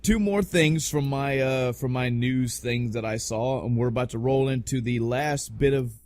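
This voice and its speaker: A man's voice: medium pitch, moderate pace, darkish tone, no echo. A man hosting a casual podcast about pop culture, talking in an emphatic, rambling way with plenty of swearing. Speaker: podcast host. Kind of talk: casual podcast chat about video game movies.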